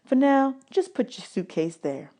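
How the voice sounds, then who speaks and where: A woman voicing a kindly grown woman who gently reassures a nervous boy in a children's audiobook. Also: high-pitched, big pitch swings, a little slow, voice pushed up. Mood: reassuring. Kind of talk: children's audiobook character dialogue.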